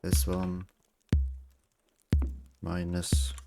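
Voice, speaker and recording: A man's voice: sits low, monotone, very slow, vocal fry. A man chatting casually while playing on a stream, thinking aloud somewhat hesitantly. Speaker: gamer on a group stream. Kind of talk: casual chat while gaming. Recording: music behind the voice. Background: music.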